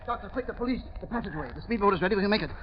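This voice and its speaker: Frantic, high-pitched henchman. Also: expressive, rapid-fire. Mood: panicked, urging. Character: panicky, urgent male henchman. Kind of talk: 1930s-40s radio drama acting. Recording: muffled, noisy old radio recording.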